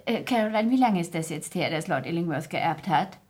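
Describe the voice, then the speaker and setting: A chatty, forgetful society hostess. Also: female voice, lowish voice, expressive, brisk, a little mellow. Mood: rambling, forgetful. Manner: rambling, gracious, chuckling, muddles her facts. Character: chatty, forgetful, amused aristocratic society hostess, older lady. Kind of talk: 1960s German radio play acting.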